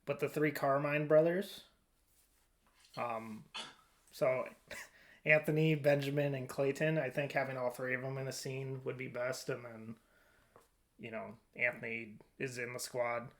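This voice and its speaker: A man's voice: medium pitch, flat delivery, measured pace, long pauses. A man co-hosting a podcast in casual chat, informal and often thinking aloud as he goes. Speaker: podcast co-host. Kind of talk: casual chat about movie casting.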